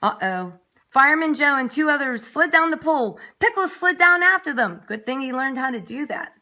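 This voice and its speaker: A woman's voice: high-pitched, lively intonation, normal speed, bright, forceful tone. A woman reading a children's story aloud expressively, with dramatic emphasis and little asides for young listeners. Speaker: nursery school story reader.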